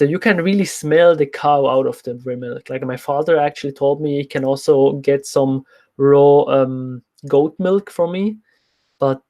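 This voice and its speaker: A man's voice: medium pitch, darkish tone. A young man who is not a native English speaker, casually answering viewer questions as host of a livestream Q&A in an informal way. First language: not English, speaks French as a second language. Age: young adult. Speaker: nutrition coach, livestream host.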